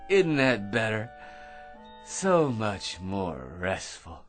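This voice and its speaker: Pushy know-it-all crackpot, rambles and repeats himself, super animated, radio play, bit of music behind. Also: male voice, on the low side, very slow, heavily acted. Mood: gloating. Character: chattering crackpot man, grandiose, pushy and self-satisfied. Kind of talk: radio drama acting.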